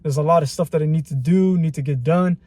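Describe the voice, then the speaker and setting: A man's voice: a little high, fast, mellow, dry recording. A man in his twenties talking casually and reflectively to camera in a rambling personal vlog. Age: 20s. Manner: reflective, rambling, slangy with repeated phrases. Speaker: vlogger.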